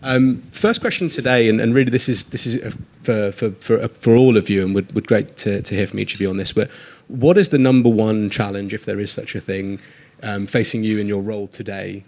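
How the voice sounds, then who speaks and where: A man moderating a live panel, brisk and hospitable, sometimes tripping over repeated words as he sets up questions. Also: lowish voice, steady tone, a little mellow. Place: event room with an audience.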